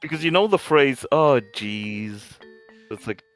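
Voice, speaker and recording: A man's voice: mid-range voice, expressive, measured pace, fairly bright tone, soft background music. A man co-hosting a game playthrough, chatting casually with wry, joking asides and free swearing. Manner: wry, riffing, swears freely. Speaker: co-host commenting on a game playthrough.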